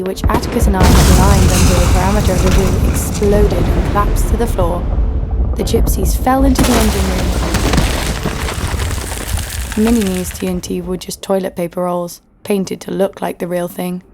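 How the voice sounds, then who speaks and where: A woman's voice: mid-range voice, steady tone, normal speed, lightly acted. An actress playing a tough, wry female adventurer who narrates her own story in a scripted audio drama. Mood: wry storytelling. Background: music.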